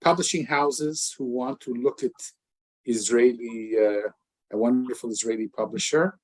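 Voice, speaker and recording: A man's voice: mid-range voice, fairly even intonation, moderate pace, rough recording. An older man interviewing a guest in a casual, effusive way, stumbling through many restarts. English is his first language.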